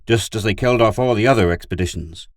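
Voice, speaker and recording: A man's voice: lowish voice, quick pace, no echo, studio quality, voice pushed up, close to an everyday voice. A man playing a rigid, indignant alien pilot devoted to duty, in a narrated story. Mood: bitter, snarling. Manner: stern, exasperated, preachy. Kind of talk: audiobook character voice.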